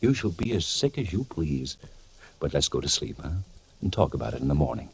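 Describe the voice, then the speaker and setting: Controlling doctor husband, low very husky voice, coaxing and condescending, old radio drama. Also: male voice, big pitch swings, brisk, exaggerated voice, rough recording. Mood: soothing, patronising. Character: patronising, soothing doctor husband, outwardly tender, firmly controlling. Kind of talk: vintage suspense radio drama acting.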